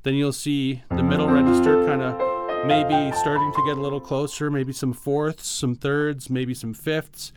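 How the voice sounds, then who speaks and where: A man's voice: mid-range voice, flat delivery, moderate pace, over loud music. A man co-hosting a podcast, explaining things step by step in a casual, informal way. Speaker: podcast co-host.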